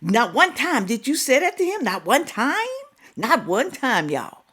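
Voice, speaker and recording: A woman hosting a reaction video, talking casually, scolding and animated with drawn-out exclamations. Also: fairly high pitch, slightly rough.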